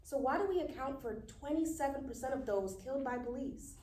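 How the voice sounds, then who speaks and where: An actress playing a determined young activist podcast host, speaking earnestly and deliberately in a live table read. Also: female voice, high voice, dark tone, close to an everyday voice. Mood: earnest, resolute. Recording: echoey room, distant mic, faint noise.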